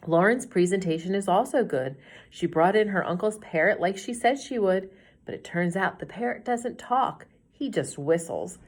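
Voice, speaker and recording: A middle-aged woman teacher reading a children's chapter book aloud in a gentle storytelling way, sometimes hushing to a whisper. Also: on the low side.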